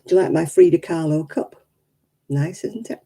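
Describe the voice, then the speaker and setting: A British woman with a croaky, hoarse voice chats casually to live viewers in a wry, teasing way. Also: deep voice, normal speed, warm tone. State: hoarse after illness. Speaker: livestreaming crafts vlogger.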